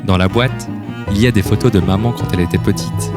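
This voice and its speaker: A man's voice: lowish voice, fast. A man reading a scripted children's story as the storyteller. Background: instrumental music.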